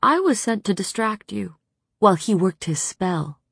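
Undead male warrior villain for fantasy audiobook, performed by a woman: sneering, mocking, lifted pitch, husky. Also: expressive, normal speed, fairly bright tone, no echo, exaggerated voice. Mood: smug, menacing.

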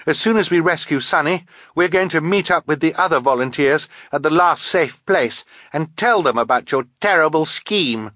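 A man's voice: on the high side, animated, moderate pace, sharp tone, no room sound, clean studio sound, pitched above the performer's own voice. A man voicing a brave, earnest young girl in a storybook, with an urgent, defiant manner.

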